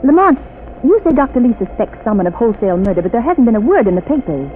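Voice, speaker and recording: A woman's voice: fairly high pitch, sing-song, quick pace. A woman playing a young, anxious companion to a detective in a 1930s radio drama, earnest and easily alarmed. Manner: alarmed, earnest, breathy exclamations. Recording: tinny old radio broadcast with faint hiss.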